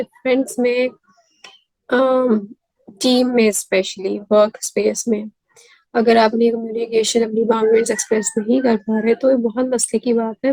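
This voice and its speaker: A Pakistani woman hosting a mental health series, hoarse from a sore throat, explaining earnestly as she switches between Urdu and English. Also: on the high side, dark tone, no echo, low-quality recording. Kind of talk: self-help talk to camera.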